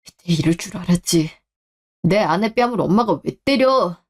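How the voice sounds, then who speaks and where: A young man voicing a protective husband in a radio drama, speaking in a low, firm and steady way. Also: normal speed. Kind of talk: Korean radio drama acting.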